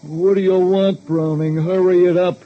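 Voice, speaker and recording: A man's voice: high voice, little pitch movement, moderate pace, pitched above the performer's own voice, natural voice. A man voicing an impatient, mercenary underworld informant, curt and tight-lipped, in a 1940s radio drama on a dull, hissy recording.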